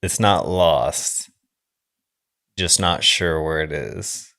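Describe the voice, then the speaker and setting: A man with a deep voice talks at an unhurried, slow pace. He is a podcast co-host in a casual chat about films, with dry, teasing delivery. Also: bright, forceful tone. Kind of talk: casual film discussion.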